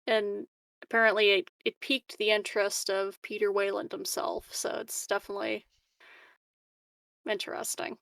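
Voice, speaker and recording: Woman player with a creaky voice. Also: medium pitch, level tone, moderate pace, fairly bright tone, dry recording. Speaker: tabletop game player. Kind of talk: casual in-character chat in a tabletop roleplaying game.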